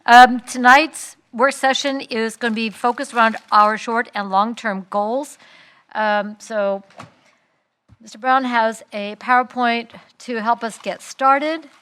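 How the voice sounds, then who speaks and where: A woman's voice: a little high, steady tone, fairly bright tone, clean tone. Woman mayor chairing a meeting. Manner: brisk and organized, with fillers. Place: council chamber.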